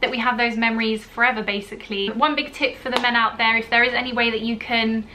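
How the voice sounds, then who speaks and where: A woman's voice: fairly high pitch, steady tone, fast, noisy background. A young woman, telling a personal story to camera in a chatty, gushing way, full of fillers like "you know". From born in Italy, grew up in the UK. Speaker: vlogger. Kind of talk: casual storytime chat to camera.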